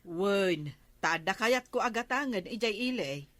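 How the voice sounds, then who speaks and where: Casting: plain-spoken barangay wife, mid-pitched, Ilocano accent, hesitant conversational delivery, radio comedy. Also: female voice, animated, unhurried, a bit bright, dry recording. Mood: casual, hesitant.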